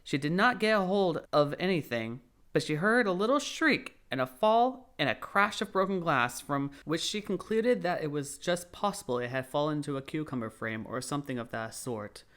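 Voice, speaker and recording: Audiobook narrator: male, expressive storybook read with animated, wide-ranging intonation. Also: fairly high pitch, normal speed.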